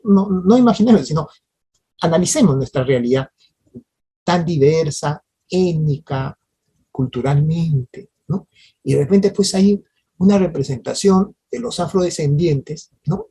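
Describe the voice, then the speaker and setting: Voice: medium pitch, warm tone, slightly rough. A lecturer teaching a workshop, appealing to listeners directly with tag questions and often restarting mid-phrase. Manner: hesitant restarts and repeated words. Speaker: lecturer at a parliamentary training workshop. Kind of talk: spoken lecture to an audience.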